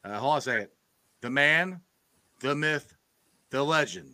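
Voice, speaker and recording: A man's voice: medium pitch, lively intonation, measured pace, bright, forceful tone, no echo. A man hosting a casual podcast stream, chatty and laughing often. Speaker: podcast host. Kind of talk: casual chat on a live stream.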